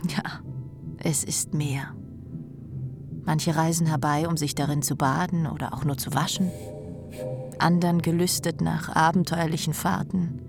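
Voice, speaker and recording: An actress in a radio play playing a clever, affectionate small woman, speaking intimately and gently probing. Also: sits low, fairly even intonation, a little slow, gravelly, pauses often, over music. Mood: dreamy. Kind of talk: German radio play acting.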